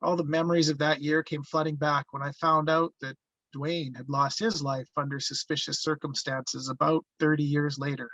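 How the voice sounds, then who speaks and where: A man reading a haunted, reflective first-person narrator looking back on his youth, halting in places, on slightly muffled audio. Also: fairly high pitch, little pitch movement, fairly bright tone, dry recording. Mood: reflective, regretful. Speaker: actor reading the narrator at a table read.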